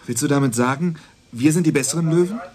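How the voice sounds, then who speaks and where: An actor playing a young, sceptical, quick-witted police dispatcher in a radio crime drama, talking in a wry, questioning way. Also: male voice, a little high, a crowd in the background, close to an everyday voice. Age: young adult.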